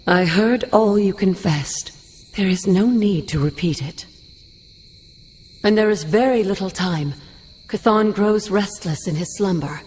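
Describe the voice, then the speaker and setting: A woman's voice: mid-range voice, measured pace, a little mellow, husky. An actress playing the ghost of a stern but caring older woman mentor in a video game cutscene, speaking formally and with command. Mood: solemn.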